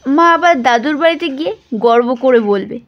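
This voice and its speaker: A woman's voice: high-pitched, animated, moderate pace, dull audio. A woman reading a first-person story as scripted audio narration.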